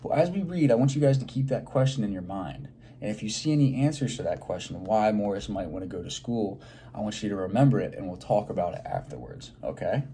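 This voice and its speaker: A man reading a picture book aloud to children in an expressive way, pausing to prompt them with simple questions. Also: fairly low pitch, slight background noise.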